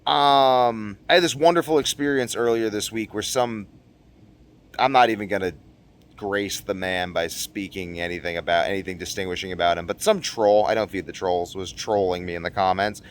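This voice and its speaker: A man with a fairly low voice speaks off the cuff to his viewers. He rambles earnestly through his thoughts in a casual vlog style, leaning on repeated phrases to drive points home. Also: moderate pace. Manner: rambling, earnest, emphatic repetition. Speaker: video blogger. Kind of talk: casual unscripted monologue to viewers.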